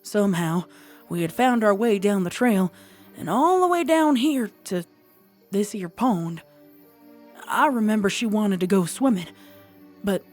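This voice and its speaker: A woman voicing a frightened, haunted young farm pony mare, stammering and halting in a dramatized horror story. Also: medium pitch, darkish tone, natural voice.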